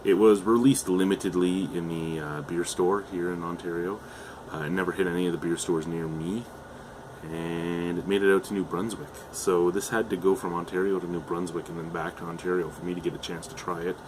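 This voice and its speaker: A young man from Canada with a low voice that is going hoarse talks his way through a beer review at home. He rambles casually, with plenty of "uh"s and restarted sentences. A faint air-conditioner hum sits underneath. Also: steady tone, fairly quick. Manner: chatty, hesitant, with frequent fillers and restarts. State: losing his voice.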